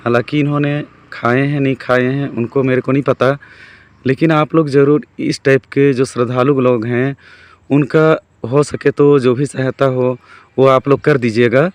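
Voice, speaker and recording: A man with a Chhattisgarh Hindi accent, hoarse from a cold, chatting casually to his viewers while traveling outdoors. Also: medium pitch, steady tone, normal speed, no room sound. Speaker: vlogger. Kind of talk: casual vlog narration. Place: outdoors on a road.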